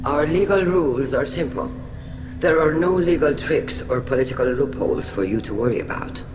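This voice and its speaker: An actor playing a solemn alien elder admiral who presides over a trial in a formal, measured, ceremonial way in a video game. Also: male voice, medium pitch, animated, music in the background, lightly acted. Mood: calm, reassuring.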